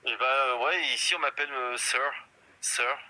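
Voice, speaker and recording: A man's voice: mid-range voice, normal speed, pushed and bright, poor audio. A man in his fifties in a casual live chat, boastful and rambling, full of hesitations. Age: 50s. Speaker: guest in a live stream chat.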